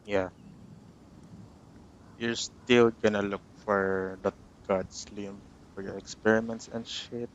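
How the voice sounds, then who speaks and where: A man's voice: lowish voice, level tone, slow, long pauses, dull audio, slight background noise. A man streaming a game, making casual, offhand, muttered reactions as he plays. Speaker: gameplay streamer. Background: game music.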